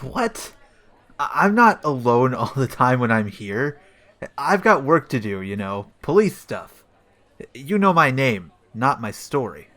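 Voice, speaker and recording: A man's voice: medium pitch, big pitch swings, a little slow, fairly bright tone. A man playing a cocky, bumbling hardboiled police detective in a comic audio play, self-satisfied and full of old-fashioned slang. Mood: flustered, defensive.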